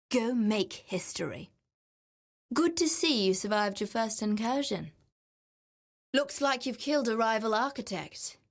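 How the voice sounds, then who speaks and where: An actress playing a sly, greedy, flamboyant treasure hunter in a video game, with a wry, self-assured, theatrical delivery. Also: female voice, medium pitch. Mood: grand, persuasive, then explanatory. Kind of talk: video game character dialogue.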